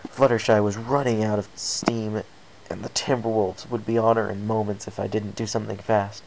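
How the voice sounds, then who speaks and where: Low, gravelly male story reader. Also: level tone, normal speed. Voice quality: low, creaky. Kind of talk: scripted first-person story narration. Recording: faint background hiss.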